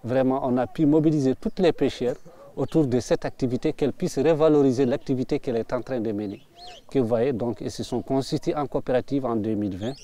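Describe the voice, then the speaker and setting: A man's voice: medium pitch, fairly quick, mellow. A man explaining local activities on site, outdoors, for a documentary.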